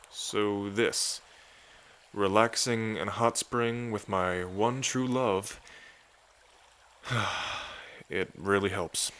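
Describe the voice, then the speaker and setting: A man's voice: lowish voice, steady tone, drawn-out words, pauses often, fairly bright tone, clean tone. A man playing an affectionate dragon king in an intimate ASMR roleplay, speaking in a casual, tender way to a lover. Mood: candid, wry. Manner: tender, casual, hesitant when moved.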